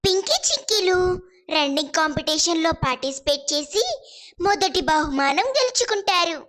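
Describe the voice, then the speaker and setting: A woman narrates a children's moral story in a very high voice. The delivery is scripted storybook reading. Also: expressive, moderate pace, a bit bright.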